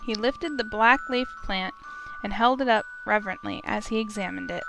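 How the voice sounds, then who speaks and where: Female narrator, visual novel. Mid-range voice, scripted storytelling read, melodic mallet music clearly behind. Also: medium pitch, moderate pace, poor audio.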